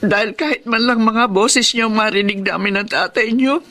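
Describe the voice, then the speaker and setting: A woman's voice: on the high side, normal speed, fairly bright tone, lightly acted. A woman playing an elderly, devoted Filipino grandmother in a radio drama, with a tender, plaintive way of talking. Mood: tender, resigned. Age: older. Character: elderly grandmother, sorrowful, devoted, supportive mother.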